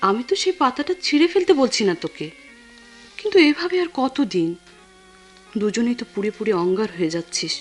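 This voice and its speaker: Quick, high-pitched candid female friend. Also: big pitch swings, faint music behind. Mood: reproachful, concerned. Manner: direct, questioning. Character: brisk, candid woman friend chiding her confidante. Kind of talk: Bengali radio drama acting.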